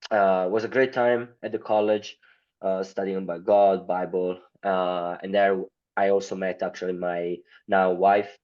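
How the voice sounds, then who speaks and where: Deep-voiced Italian man, halting English. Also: little pitch movement, lo-fi sound. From Italy. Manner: hesitant, many fillers, non-native grammar. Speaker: guest. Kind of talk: casual interview answers.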